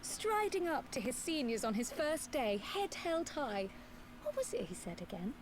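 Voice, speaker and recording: Teasing little scholar from a fantasy game, highish voice, fancy wording, slight background noise. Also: female voice, sing-song, normal speed. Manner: wry, precise, archaic formal phrasing. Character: small, teasing, self-assured female scholar of a fantasy race. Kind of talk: fantasy video game dialogue.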